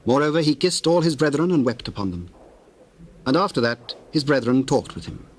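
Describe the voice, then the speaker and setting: A man narrating dramatized scripture in a measured, solemn and formal manner. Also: medium pitch, expressive.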